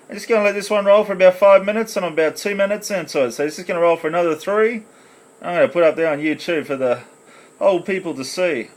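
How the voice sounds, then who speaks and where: Slangy man chatting on CB. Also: a little high. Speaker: amateur CB operator filming on phone. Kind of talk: casual CB radio banter. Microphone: phone recording in the radio room.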